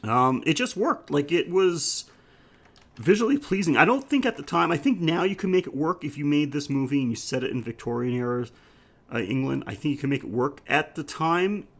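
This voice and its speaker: A man co-hosting a podcast, discussing film casually and haltingly as he thinks aloud. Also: a little high, lively intonation, fairly bright tone. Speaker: podcast co-host.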